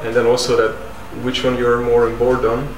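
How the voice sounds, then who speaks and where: A man's voice: medium pitch, completely flat delivery, normal speed. A man leading a casual staff review meeting, talking as the boss of a small company. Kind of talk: casual workplace meeting talk. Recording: echoey room from far off, faint noise.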